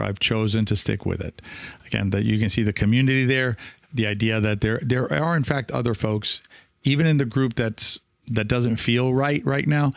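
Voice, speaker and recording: A man talking casually as a podcast host and coach, reflective and encouraging, halting now and then and often checking in with the listener. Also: lowish voice, vocal fry, no echo, clean studio sound. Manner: reflective, halting, with frequent rhetorical check-ins.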